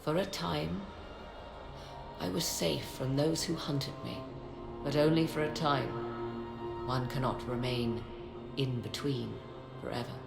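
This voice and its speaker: A woman playing a poised, proud sorceress in a video game, speaking formally and enigmatically in a measured, haughty manner. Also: a bass voice, gravelly, heavily acted. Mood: reflective. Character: poised, cryptic sorceress, proud keeper of ancient secrets. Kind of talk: dramatic video game dialogue. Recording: reverberant, with game music behind.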